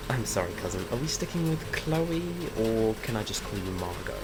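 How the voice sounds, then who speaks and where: A man's voice: lowish voice, big pitch swings, a little slow, background noise. A man acting a cocky, flippant monster hunter in an audio drama, breezy and self-justifying as he talks. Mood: teasing, smug. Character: cocky, flippant male monster hunter, chain-smoking runaway groom.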